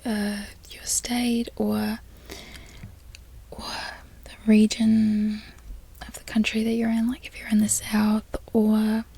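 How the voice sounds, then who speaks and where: A woman with an Australian accent, an ASMR creator softly whispering repeated trigger words. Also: medium pitch, monotone, takes it slowly, gravelly, slightly rough.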